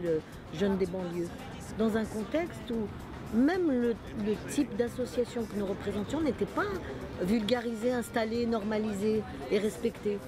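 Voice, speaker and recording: A woman speaking as an association organizer in a documentary interview, articulate and fond of lists. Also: mid-range voice. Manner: articulate, listing phrases. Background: music.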